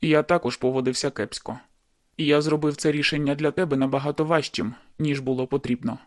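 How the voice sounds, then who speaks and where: A man plays a wounded young man in an audiobook, blunt and weary, with a bitter edge. His voice sits fairly high for a man and sounds dry and close. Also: steady tone, a little fast, no echo, close to an everyday voice. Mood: resolute. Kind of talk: audiobook dialogue.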